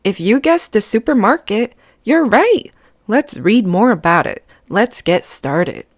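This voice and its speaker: Female children's read-aloud host, lively pitch swings, slow clear-cut pacing, dry close sound. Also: dry recording. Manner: expressive, simple, child-directed explaining. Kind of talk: picture book read-aloud to children, with questions to the listener.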